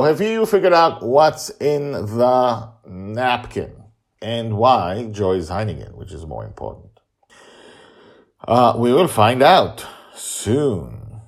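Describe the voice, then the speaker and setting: A middle-aged man hosting his own storytelling podcast, talking informally and emphatically to listeners with drawn-out words. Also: low voice, vocal fry. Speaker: author, narrator and podcast host. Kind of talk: reading own serial story and addressing listeners.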